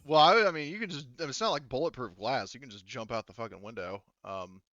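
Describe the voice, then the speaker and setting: A man's voice: mid-range voice, quick pace, dry recording, dull audio. A man refereeing a tabletop roleplaying game as game master, talking casually with swearing and frequent laughter as he explains the rules. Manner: animated, laughs often while explaining rules.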